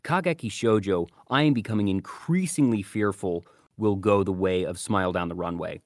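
A man with a fairly low voice hosts a casual anime podcast. He gives conversational commentary, and the sound is dry and close, with little room in it. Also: moderate pace, no room sound. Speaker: podcast host.

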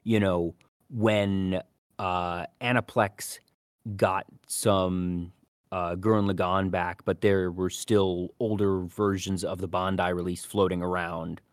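A man's voice: sits low, little pitch movement, slow, clean tone, no room sound, studio quality. A man co-hosting a casual podcast discussion, talking in an opinionated, argumentative way. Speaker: co-host.

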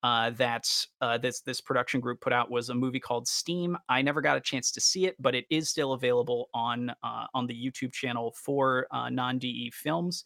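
A man talks casually as a podcast co-host, with noticeable vocal fry in a mid-pitched voice. He is enthusiastic but halting as he works through his thoughts. The sound is close and dry. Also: fairly even intonation, a bit bright, no room sound. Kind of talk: casual fan podcast discussion.

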